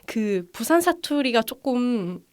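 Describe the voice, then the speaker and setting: A woman's voice: on the high side, sing-song, takes it slowly, darkish tone, smooth. A young woman from Incheon, co-hosting a podcast in casual chat and laughing often as she tells her stories. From Incheon, South Korea. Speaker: podcast co-host.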